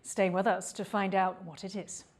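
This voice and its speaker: A woman anchoring the news, reading a script in a steady, even way. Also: medium pitch, normal speed.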